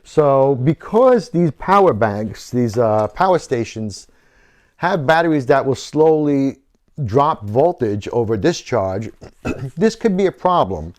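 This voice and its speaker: A man's voice: mid-range voice, sing-song, takes it slowly. A middle-aged man giving an informal technical walkthrough as a hobbyist presenter, explaining things and often correcting himself as he goes. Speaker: radio hobbyist presenting a DIY build.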